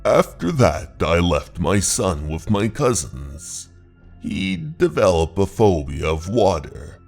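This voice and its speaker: Man acting gravelly, obsessed aging husband, desperate. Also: deep voice, a little mellow, voice pushed down, full character voice. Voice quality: gravelly, husky. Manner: dramatic, halting monologue. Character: desperate aging husband, obsessed with rescuing his lost wife. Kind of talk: horror manga dub narration. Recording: voice over background music.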